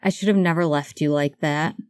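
A woman's voice: low-pitched, fairly even intonation, fairly quick, a little mellow, clear, resonant tone, dry recording, clean studio sound, close to an everyday voice. A woman voicing an anxious, tender, apologetic man in love, speaking in an earnest, emphatic way for a fan fiction audiobook. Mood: apologetic, rushed.

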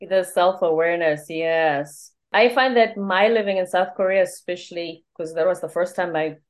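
A woman's voice: fairly low pitch, normal speed. A woman, a Zulu speaker, hosting an interview in an affirming, conversational way. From South Africa. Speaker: interview host.

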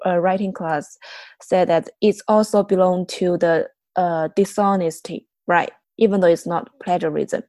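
Female international student guest, mellow, hesitant, dry sound. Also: fairly low pitch, dry recording. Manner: hesitant, searches for words with many fillers. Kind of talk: casual discussion.

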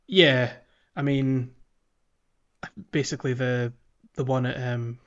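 A man's voice: mid-range voice, level tone, takes it slowly, a bit bright, highs cut off. A man co-hosting a casual video game podcast, talking in a hesitant way as he searches for his words. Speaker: podcast co-host.

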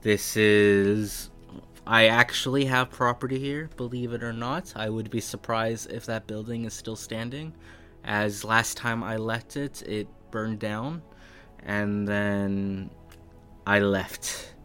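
A man's voice: on the low side, steady tone, takes it slowly, a bit bright, soft background music. A man voicing a cheery, evasive old dwarf artificer with a folksy, hesitant way of muttering asides. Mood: breezy, evasive.

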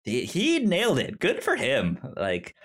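A man co-hosting a casual film discussion, halting and often repeating the starts of his words. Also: medium pitch, wildly swooping pitch, fairly bright tone, husky. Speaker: podcast co-host reviewing a film.

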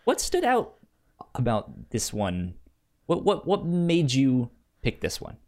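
A man's voice: medium pitch, huge pitch swings, takes it slowly, darkish tone. A man hosting a casual podcast, often stammering and repeating his words. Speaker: podcast host.

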